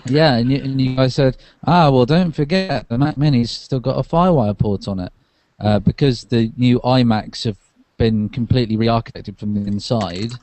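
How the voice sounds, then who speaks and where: A man's voice: mid-range voice, normal speed, a little mellow, dry recording. A man in casual tech chat as a podcast co-host, hesitant and correcting himself as he thinks aloud.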